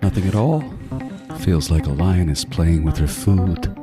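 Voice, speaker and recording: An extremely deep male voice , acted by a man, tells a horror tale as a sardonic serial killer. He is profane and dramatic, a wisecracker with panic under the bravado. Music plays clearly behind him. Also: unhurried. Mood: tense, sardonic. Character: meticulous male serial killer narrating his hunt, crude, sardonic, panicking. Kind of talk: first-person horror audio drama narration.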